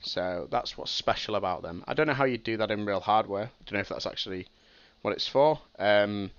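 A man's voice: on the low side, fairly quick, a bit bright, no echo, highs cut off. Sniffly young man explaining code. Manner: thinking aloud, many ums and restarts. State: sniffly with a cold. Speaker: streamer teaching game programming. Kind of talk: casual live-stream explanation.

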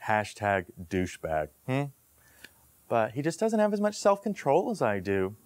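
A man's voice: medium pitch, very animated, measured pace, dry recording. A man playing a prissy, self-satisfied roommate who talks politely and smugly. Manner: polite, smug.

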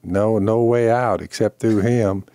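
An older man recalls past events in a slow, matter-of-fact way at a studio mic. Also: on the low side, steady tone, mellow, dry recording. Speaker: preacher and podcast host. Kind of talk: casual storytelling. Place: wood-paneled studio room. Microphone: studio mic on boom arm, headphones.

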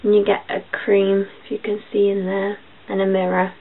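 A young woman with a croaky voice, chatting casually through a makeup tutorial in her bedroom, with filler ums as she goes. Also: medium pitch, completely flat delivery, moderate pace. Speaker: beauty vlogger. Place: small bedroom. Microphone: built-in webcam mic. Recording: tinny lo-fi webcam audio, faint hiss.

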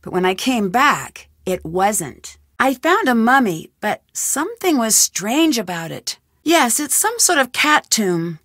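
A woman's voice: fairly high pitch, very animated, a little slow, few pauses, fairly bright tone, no room sound, clean studio sound, full character voice. An actress voicing a curious, determined young female amateur detective in a video game, asking earnest questions. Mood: puzzled. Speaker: game protagonist. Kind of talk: studio video game voice lines.

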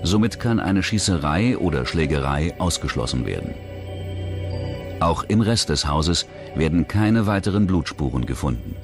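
Deep-voiced male true-crime narrator. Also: animated, moderate pace. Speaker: documentary narrator. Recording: music under the voice. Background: background music.

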